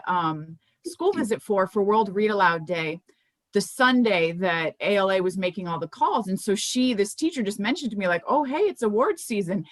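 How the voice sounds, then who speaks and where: A woman's voice: mid-range voice, a bit bright, no room sound. A middle-aged American woman speaking in a conversational, informal way, as an author presenting her books. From Miami, United States.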